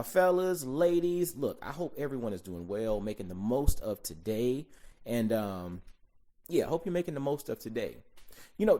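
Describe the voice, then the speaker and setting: A man in his forties from Georgia, hosting a solo podcast in a reflective, conversational way. He repeats key phrases and slows down to stress them. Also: mid-range voice, a little mellow. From Augusta, Georgia, US. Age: 40s. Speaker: podcast host.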